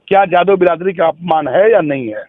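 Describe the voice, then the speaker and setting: Guy with a high voice doing a phone interview, earnest, opinionated, thin tinny call audio. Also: brisk, no room sound. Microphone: telephone.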